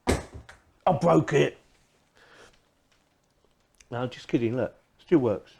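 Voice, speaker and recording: A man's voice: medium pitch, drawn-out words, gravelly, heavy background noise. A man giving a casual, unscripted product review in a chatty way, often repeating phrases as he goes.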